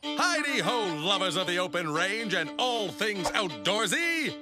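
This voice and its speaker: Someone doing a snooty ish ranger, lectures everyone, bouncy pitch, music behind. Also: a little slow. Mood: showy. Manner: grandiose, lecturing, lively. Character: pompous outdoorsy park ranger, know-it-all.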